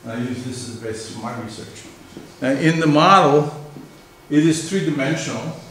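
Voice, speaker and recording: A man's voice: on the high side, normal speed, fairly bright tone. A middle-aged male professor with a New York area American accent, giving a conversational university lecture and quizzing his class. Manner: conversational, questions the class and answers himself. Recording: very echoey room, mic far off, faint hiss.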